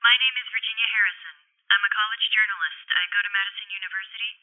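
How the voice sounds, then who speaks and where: An actress playing a persistent young college journalist on a telephone line in a radio drama, polite but pushy. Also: female voice, medium pitch, steady tone, very fast. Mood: polite, hopeful. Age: young adult. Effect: telephone filter. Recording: muffled phone-call sound with faint music.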